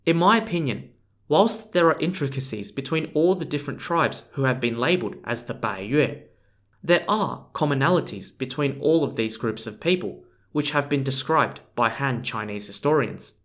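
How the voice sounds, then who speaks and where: A man narrates a history podcast from a script. His voice sits at an ordinary middle pitch, and he sounds earnest, slowing down to spell out foreign names letter by letter. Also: animated, a bit bright. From Australia. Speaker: podcast host.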